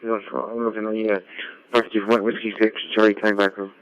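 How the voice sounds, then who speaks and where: A man, an amateur radio operator, chatting casually and haltingly over a radio link, often repeating his phrases. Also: level tone, dry recording. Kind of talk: casual ham radio chat. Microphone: radio link played through a computer. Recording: dull low-fi digital radio link.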